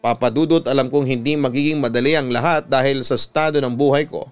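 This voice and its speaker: Clear-voiced Filipino man narrating a story. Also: medium pitch, fairly even intonation, fast. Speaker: radio announcer and story narrator. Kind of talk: dramatic first-person story narration. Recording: music behind the voice. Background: background music.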